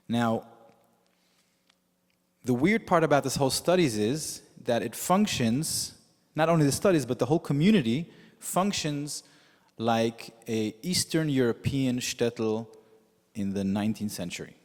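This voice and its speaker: A man whose first language is Yiddish, preaching as a rabbi in a measured storytelling way with frequent pauses. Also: mid-range voice, lively intonation. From United States. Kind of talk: sermon. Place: synagogue sanctuary with long reverb. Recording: reverberant hall.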